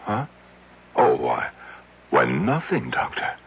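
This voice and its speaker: Halting, very low-voiced meek man. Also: huge pitch swings, gravelly, slightly rough, heavily acted. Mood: anxious, pleading. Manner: halting, hesitant, full of stammered pauses. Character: meek, anxious male patient, Martin Jerome, confiding in his psychiatrist. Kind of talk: old-time radio drama acting. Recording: dull vintage radio broadcast recording.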